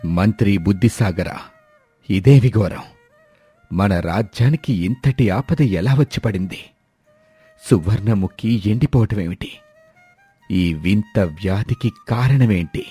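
A man voicing a worried, angry king who speaks slowly and commands gravely in a storybook tale. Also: fairly low pitch, warm tone, full character voice. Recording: dry, faint music behind.

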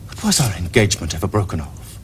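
Animated, ardent English young gentleman. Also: low-pitched, fast talker, slight background noise. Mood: bewildered, hurt. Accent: English Received Pronunciation. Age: young adult. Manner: earnest, flowery, theatrical declarations. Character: ardent, florid young Victorian gentleman suitor. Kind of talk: period stage comedy acting for television.

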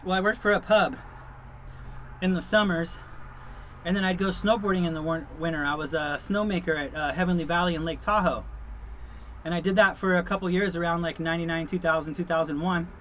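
A man's voice: fairly high pitch, fairly even intonation, brisk, a bit bright, slight background noise. A middle-aged man chatting casually to camera as a vlog host, in an informal, easygoing, rambling way, from the back of a truck camper.

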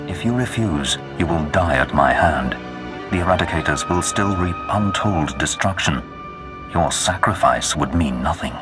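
An actor playing a menacing, pompous Sith lord in a video game, commanding and deliberate. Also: male voice, deep voice, expressive, music in the background. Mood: cold menace. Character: menacing, imperious male Sith lord seeking to rule. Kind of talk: dramatic video game voice acting.

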